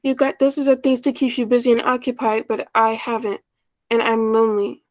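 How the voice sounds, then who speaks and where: A woman's voice: a little high, level tone, brisk, a little mellow, no echo, lightly acted. A woman playing a shy, lonely, self-effacing older sister in a 1940s-style radio play, speaking wistfully and hesitantly. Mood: pleading. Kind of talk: 1940s radio play acting, first-person narration.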